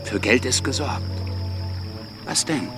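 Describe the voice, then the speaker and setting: A man's voice: mid-range voice, slow, over music. A man acting a pragmatic, commanding revolutionary leader in a radio drama. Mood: persuasive.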